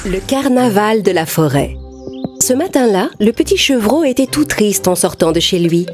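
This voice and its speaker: A woman reading scripted narration for an animated children's story. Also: medium pitch, normal speed. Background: music.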